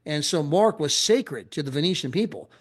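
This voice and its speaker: Middle-aged American man, lively promoter, rapid-fire destination lists, slightly high voice. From USA. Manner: animated, repetitive, chuckling asides. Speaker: travel club promoter. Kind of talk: enthusiastic sales pitch.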